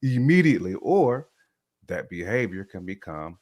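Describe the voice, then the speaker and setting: A man's voice: lowish voice, big pitch swings, moderate pace, darkish tone. A man with a Southern American accent, co-hosting a casual chat and explaining his views conversationally. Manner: conversational, explains with "you know what I'm saying". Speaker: co-host.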